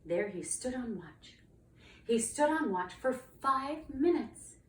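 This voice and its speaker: Expressive woman reading a children's storybook. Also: on the high side, slow pace. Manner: dramatic, emphatic storytelling. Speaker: storybook reader, cantor. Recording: roomy, distant mic, faint noise.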